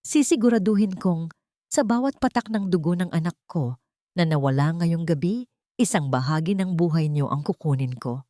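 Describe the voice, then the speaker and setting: A woman voicing a vengeful, powerful older father in a dramatic audio story, speaking in a menacing, deliberate, formal manner. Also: on the low side, expressive, warm tone, dry recording, studio quality, close to an everyday voice. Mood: cold fury.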